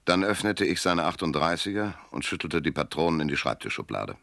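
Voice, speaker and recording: A man's voice: deep voice, big pitch swings, a little fast, vocal fry, fairly bright tone, echoey room, heavily acted. An actor playing a sardonic, self-assured private detective in a German radio crime drama, narrating his case and talking in a dry, mocking way. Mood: dry, matter-of-fact.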